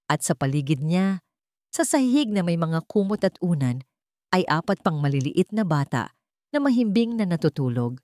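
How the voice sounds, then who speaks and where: A woman's voice: fairly low pitch, big pitch swings, normal speed, a little mellow, no echo. A woman with a Filipino accent reading a scripted dramatic story as a storyteller.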